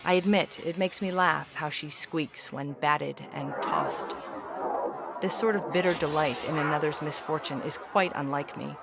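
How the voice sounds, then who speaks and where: A woman's voice: low voice, vocal fry. Woman as formal, weary alien ambassador over noise. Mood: weary disdain. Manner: formal, measured, articulate. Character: haughty, weary feline alien ambassador, disillusioned with humans. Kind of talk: dramatic reading of an epistolary science fiction story.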